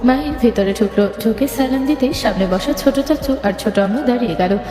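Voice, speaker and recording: A woman's voice: on the high side, a little fast, dark tone. A woman reading scripted story narration between lines of dialogue. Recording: echoey reverberant hall, music underneath.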